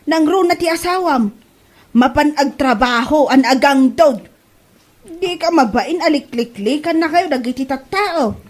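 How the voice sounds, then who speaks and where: Woman acting a high-voiced, nagging Ilocano mother-in-law. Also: expressive, a little slow. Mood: disgusted, scolding. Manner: nagging, blunt, complaining. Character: blunt, scolding mother-in-law, fed up and fussy. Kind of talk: Ilocano comedy audio drama acting.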